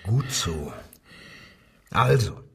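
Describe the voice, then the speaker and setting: Husky, controlled police inspector. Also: male voice, lowish voice, very animated, recorded from a distance, exaggerated voice. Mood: coaxing, insistent. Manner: measured, insistent, coaxing, authoritative. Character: controlled, probing male Nazi-era Berlin police inspector. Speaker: actor in a radio crime drama. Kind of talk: German radio drama dialogue, interrogation.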